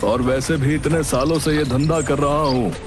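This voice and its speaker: Man acting a smug high-voiced village haggler. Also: steady tone, normal speed, background noise, lightly acted. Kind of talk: cartoon story dialogue. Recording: music behind the voice.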